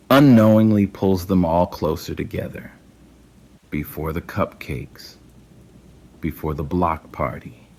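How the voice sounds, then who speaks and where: A man with a very low voice narrates a dramatic audio story. He takes his time, delivering the scripted lines in short, clipped phrases and leaving deliberate pauses between them. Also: darkish tone.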